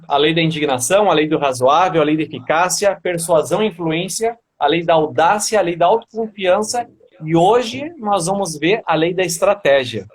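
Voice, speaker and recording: A man's voice: high-pitched, breathy edge. A man hosting a motivational live stream, welcoming viewers by name and interviewing his guest. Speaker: host.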